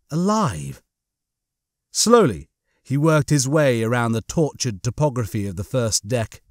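Animated man narrating, high-pitched voice. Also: no room sound, clean studio sound. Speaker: audiobook narrator. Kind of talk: dramatic scripted narration of comic science fiction.

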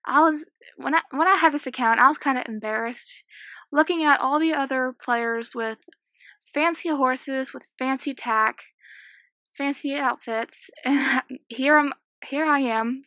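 A sixteen-year-old girl with a slightly croaky voice, chatting and giggling casually while commentating a game she is playing at home. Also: sits high, bright, forceful tone, no room sound. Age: 16, teenager. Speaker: gaming YouTuber. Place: small home room.

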